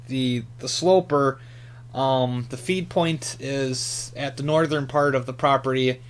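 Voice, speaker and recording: A man giving a casual, off-the-cuff hobbyist demonstration, hesitant and often muttering to himself as he thinks aloud. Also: mid-range voice. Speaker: amateur radio hobbyist demonstrating antennas. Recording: clear background hum and noise.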